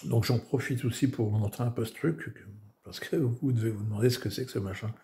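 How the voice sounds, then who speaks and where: A hoarse man casually showing his homemade radio modules, talking with many fillers and restarts. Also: mid-range voice, fairly quick, dark tone, slight background noise. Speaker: amateur radio builder. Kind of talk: casual technical show-and-tell.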